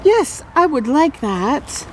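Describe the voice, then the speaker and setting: A woman voicing an eager, proud young female kitten gardener in a storybook, earnest and excitable. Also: high-pitched, slow, a little hiss or hum, voice pushed up, exaggerated voice, rough recording. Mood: shy, glad.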